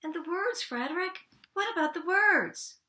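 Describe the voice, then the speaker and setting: Little storybook field mouse, chatty and fretful, pitched high, insistent questioner; woman performer. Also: lively intonation, unhurried, sharp tone, echoey room, a little hiss or hum, full character voice. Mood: anxious, eager. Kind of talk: children's storybook read-aloud.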